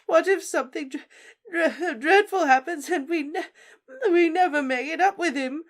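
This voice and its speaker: A woman voicing a distraught middle-aged motherly witch who sobs and stammers in a high, breaking voice. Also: normal speed. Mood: sobbing. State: crying.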